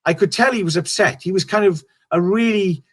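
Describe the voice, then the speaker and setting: Middle-aged Welsh man, comic, higher voice, loose rambling storyteller. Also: a little fast, husky. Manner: rambling, restarts sentences, swears casually. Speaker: stand-up comedian guest. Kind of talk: casual interview chat.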